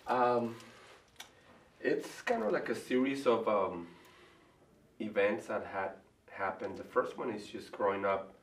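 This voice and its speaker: A middle-aged man with a Venezuelan Spanish accent, answering interview questions in a thoughtful, hesitant way with many pauses and restarts. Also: fairly low pitch, animated. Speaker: artist and college faculty member. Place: room in front of a large painting. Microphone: far camera. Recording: echoey room from far off, faint hiss.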